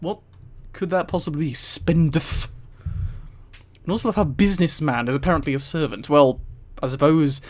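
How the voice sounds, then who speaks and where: A man streams a casual read-aloud through a headset mic. His voice sits a little high for a man. He rambles into amused asides as he goes, chatting informally to his viewers. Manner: amused, giggly, rambling commentary. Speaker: streamer reading a book aloud to live chat.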